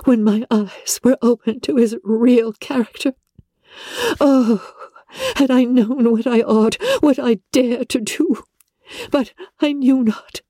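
A distraught young gentlewoman from an old novel, high shaky tearful voice, halting, blaming herself. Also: fairly even intonation, no room sound, studio quality. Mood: wretched regret. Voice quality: tearful, breaking voice. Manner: halting, agitated, dramatic. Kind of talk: dramatic audiobook reading of classic novel.

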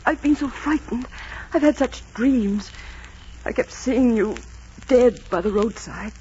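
An actress playing a young innkeeper's daughter, devoted and anxious, in 1940s radio melodrama style. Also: female voice, a little high, measured pace, warm tone, natural voice. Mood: tender, anxious. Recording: noisy, dull 1940s radio recording.

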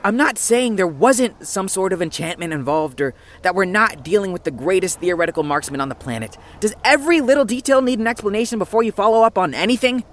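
A woman playing a sharp, impatient, sarcastic young investigator in a fantasy audio drama. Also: mid-range voice, lively intonation, lightly acted. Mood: irritated, insistent. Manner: snappy, sardonic, brisk.

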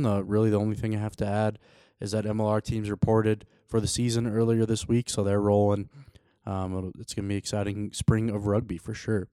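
Guy doing a sports podcast, pretty flat pitch, smooth clear voice, laid back. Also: dry recording. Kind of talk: casual sports show commentary and interviewing.

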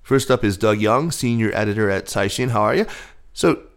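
A man hosting a business news podcast, speaking in a measured, articulate way as he presents and interviews. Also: on the low side, clear, resonant tone. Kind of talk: scripted news reading and interviewing.

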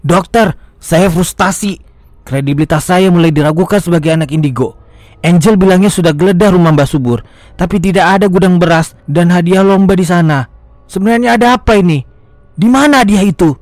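A man's voice: high-pitched, a little fast, darkish tone, dry recording, natural voice. Touchy, dramatic young psychic played by a man. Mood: frustrated. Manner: exclamatory, slangy, mixing English and Javanese. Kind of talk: audio drama acting.

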